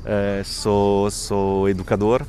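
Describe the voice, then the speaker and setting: Italian guy, lowish voice, stops and restarts a lot, outdoors with faint noise behind. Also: monotone, mellow. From Italy. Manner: halting, restarts often, thinking aloud. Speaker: community educator. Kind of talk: street interview. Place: outdoors in a residential neighbourhood.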